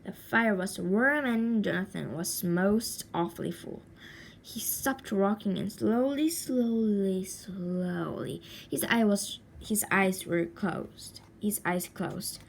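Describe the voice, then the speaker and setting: A woman reading a children's story aloud expressively, with deliberate dramatic pauses and stretched words. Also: mid-range voice, vocal fry. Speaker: storybook reader. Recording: faint background noise.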